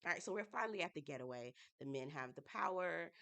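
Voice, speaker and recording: A woman with a low voice and a stuffy, congested nose from a head cold. She recaps a reality show for her viewers in a chatty, playful way, full of slang and asides. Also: fast talker, creaky. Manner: animated, playful, Southern-inflected slang, addresses viewers as y'all. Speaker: YouTube reality TV reviewer. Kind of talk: casual chatty TV review.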